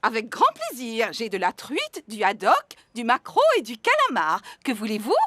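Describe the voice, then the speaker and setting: An enthusiastic cartoon market lady, high and bright. Polite, singsong hawking swoops up and down in a dry recording. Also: wildly swooping pitch, moderate pace, no echo, full character voice. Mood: eager, polite. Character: enthusiastic female animal market vendor hawking in a children's cartoon. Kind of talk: French cartoon dub acting.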